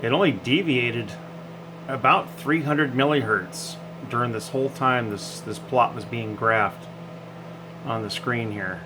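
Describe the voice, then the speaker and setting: A man's voice: medium pitch, unhurried, noisy background. A man giving a casual, off-the-cuff technical demonstration in a folksy, hesitant way, thinking aloud as he goes. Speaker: hobbyist demonstrator.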